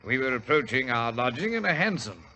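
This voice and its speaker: An actor playing a blustering, flustered middle-aged English doctor in a 1940s radio drama, with a British accent. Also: male voice, medium pitch, big pitch swings, fairly quick, pushed and bright. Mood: reminiscing. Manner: blustery, indignant, stammering. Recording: old radio recording with faint hiss.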